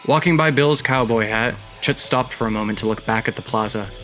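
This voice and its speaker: A man hosting his own podcast, narrating a story and giving announcements in a steady, measured way. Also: medium pitch. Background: background music.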